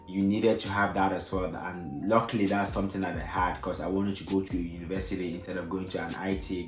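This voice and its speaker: A young man with French as his first language, talking through advice in a loose, rambling way full of restarts and fillers. His voice sits very low. The room around him rings with echo, and a little music plays softly underneath. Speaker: vlogger. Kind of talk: casual advice vlog. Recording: echoey room with faint music.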